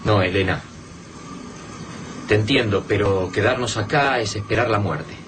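A man's voice: fairly low pitch, measured pace, close to an everyday voice. An actor with a Rioplatense Argentine accent playing a grim, pragmatic male survivor in a radio drama, firm and persuasive. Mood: urgent. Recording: noisy radio-drama recording.

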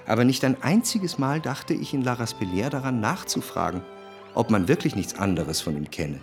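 An actor playing a reflective, cultured man who recalls his past in a literary radio drama, with measured, precise diction. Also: mid-range voice, animated, music in the background, natural voice. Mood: wistful. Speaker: narrator.

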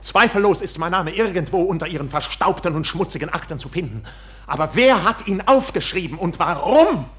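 Impassioned accused clerk, swooping intense voice. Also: male voice, high-pitched, lively intonation, a little fast, fairly bright tone. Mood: indignant. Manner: impassioned, declamatory. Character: defiant, agitated accused bank officer on trial. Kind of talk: German radio drama acting.

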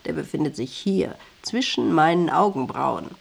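A woman's voice: sits low, expressive, normal speed, gravelly, husky, exaggerated voice. An actress playing a bossy, volatile wife in a radio drama, switching between cooing and scolding in a commanding manner. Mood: lecturing, commanding. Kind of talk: German radio drama acting.

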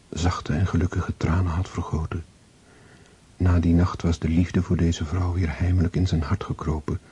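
Male narrator for radio drama: low, very husky voice, measured literary delivery, slightly dull recording. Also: dark tone.

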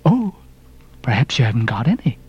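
A man's voice: a little high, animated, slow, slightly rough, lo-fi sound, full character voice. A man voicing a ragged old sailor stranger in an audiobook, cryptic and derisive, with emphatic archaic seafaring talk. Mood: cryptic, mocking. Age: older. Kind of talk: audiobook character reading.

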